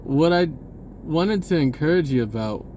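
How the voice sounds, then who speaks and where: A man's voice: on the high side, animated, moderate pace, warm tone, a little hiss or hum. A man giving a rambling, spontaneous message in an informal, hesitant way, often rephrasing as he goes. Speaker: online video creator.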